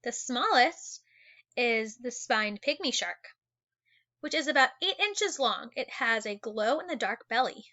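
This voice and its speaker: Female teacher for children's nonfiction read-aloud. Fairly high, bright, expressive delivery with chatty asides; slightly dull recording. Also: measured pace. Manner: animated, exclaiming and wondering aloud for young listeners.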